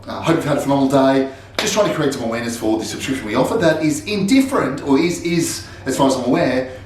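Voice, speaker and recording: A man with a low, congested voice talks to camera in a vlog, choosing formal, wordy phrasing. He sounds stuffed up from illness. The room rings with echo and a faint hiss sits behind him. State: congested, sick. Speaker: barbershop owner and vlogger. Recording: roomy echo with faint noise.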